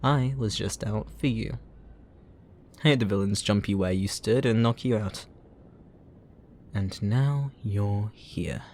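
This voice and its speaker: A man playing a gloating, obsessive supervillain in a roleplay ASMR audio drama, teasing and possessive, with a theatrical, drawn-out delivery. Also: on the low side, a little mellow, slight background noise. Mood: gloating, amused.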